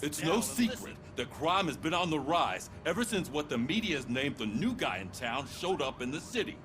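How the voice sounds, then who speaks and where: A serious, urgent police commander with a high, animated, authoritative voice. He briefs officers in video game style, over music. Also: normal speed. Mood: urgent, stern. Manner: emphatic, authoritative.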